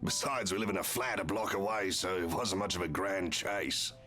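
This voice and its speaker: A man playing a jovial, boastful married police officer who flatters socialites, chatty and theatrical, in a video game. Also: sits low, moderate pace. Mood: chatty grumbling. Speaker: character voice actor in a visual novel. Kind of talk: voiced game dialogue. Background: music.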